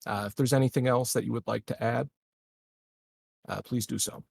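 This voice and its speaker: A guarded, calculating male colony leader, played by a man at a game table talks formally and deliberately. The voice is gravelly and recorded very dry. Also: medium pitch, steady tone, darkish tone, no room sound. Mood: measured, guarded.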